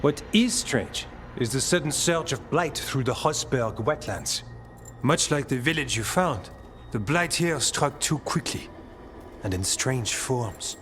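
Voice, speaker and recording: An actor playing an earnest, modest scholarly warrior in a video game, thoughtful and a little hesitant. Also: male voice, medium pitch, animated, measured pace, a bit bright, a little hiss or hum. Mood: grave.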